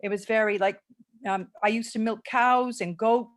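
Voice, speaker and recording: An older woman around seventy with a fairly high voice tells her story in a relaxed, rambling way. She sounds chatty and amused, speaking over a video call. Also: normal speed. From born in England, raised in Los Angeles, later Ireland. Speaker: guest, homeopath and former nurse-midwife. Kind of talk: casual interview chat.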